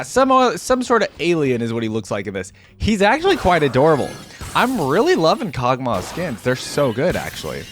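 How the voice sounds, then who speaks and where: Lively, excitable man reacting on video. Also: mid-range voice. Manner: chatty, excitable, thinks aloud with many fillers. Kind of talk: casual reaction commentary.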